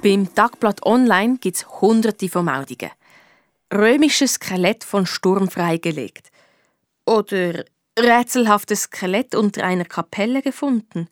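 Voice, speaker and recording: Schoolgirl detective woman actor, Swiss accent, colloquial, curious, cheeky, expressive, for children's audio drama. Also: medium pitch, no echo, clean studio sound, close to an everyday voice. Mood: pondering. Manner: colloquial, expressive, thinks aloud. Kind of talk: children's radio detective play, first-person narration and dialogue.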